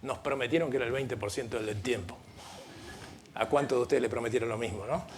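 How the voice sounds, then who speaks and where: A man's voice: mid-range voice, brisk, slight background noise. A middle-aged man with an Argentine accent, speaking on a conference panel in an earnest, explanatory way, often restarting his sentences. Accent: Argentine Spanish. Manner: earnest, explanatory, with frequent restarts and light asides. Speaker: panel speaker at a legal training event. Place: conference room.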